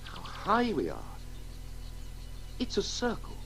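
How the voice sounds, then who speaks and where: Musing male writer, wildly varying pitch. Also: wildly swooping pitch, pushed and bright, soft background music, exaggerated voice. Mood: rapturous. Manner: musing, halting, intense. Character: brooding, wonder-struck male writer telling his story. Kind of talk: radio play acting with narration.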